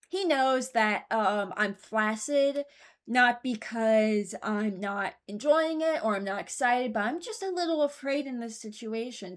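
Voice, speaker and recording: A woman hosting a video, giving casual, rambling commentary and hesitating as she thinks aloud. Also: on the high side. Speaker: video creator and host.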